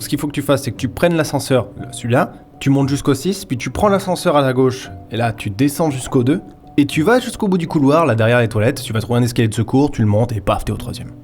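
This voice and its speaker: A man's voice: mid-range voice, a little mellow, lightly acted. A man playing a jaded, colloquial office old-timer who rambles and swears in a comedy audio drama. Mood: casual, rambling.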